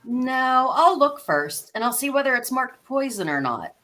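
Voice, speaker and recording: A woman's voice: high voice, sing-song, a little slow, sharp tone, full character voice. A woman playing a curious, polite young girl who talks to herself in wonder, in a storybook live read. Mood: sensible, determined.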